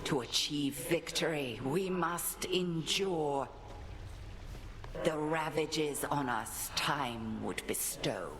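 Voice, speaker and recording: A woman playing a stern, measured witch headmistress in a video game, speaking formally and deliberately. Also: low-pitched, noisy background. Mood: solemn incantation. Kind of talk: theatrical video game dialogue with formal verse.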